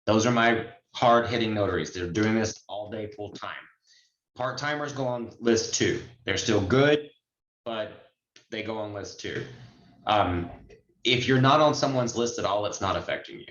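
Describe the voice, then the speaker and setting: A low-voiced man explains things in a candid, instructive way as a trainer in an online question session. The audio is echoey and somewhat dull, like a group video call. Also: normal speed, fairly bright tone. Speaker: notary trainer. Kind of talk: informal teaching in online Q&A.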